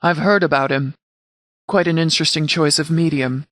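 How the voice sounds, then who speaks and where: Man playing a game sheriff's commander, slightly high male voice, formal, precise, measured, no-nonsense, very dry recording. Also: steady tone, fairly bright tone, no room sound, lightly acted. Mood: composed, authoritative. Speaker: commanding officer character in a video game. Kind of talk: scripted game dialogue, mission briefing.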